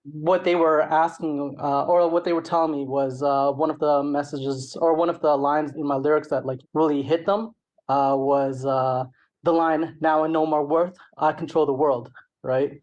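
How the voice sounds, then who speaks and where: A man's voice: fairly high pitch, fairly even intonation, moderate pace, a little mellow, dull audio. Canadian guy, middle aged, rapper being interviewed, chatty and rambling, says like and you know a lot. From Toronto, Canada. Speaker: interview guest, rapper and songwriter. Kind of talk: casual interview talk.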